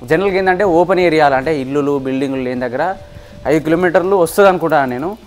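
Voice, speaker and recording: A man's voice: a little high, fast. A man with a Telangana Telugu accent chatting casually as a vlogger, explaining step by step with examples to his friends. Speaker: vlogger demonstrating a gadget.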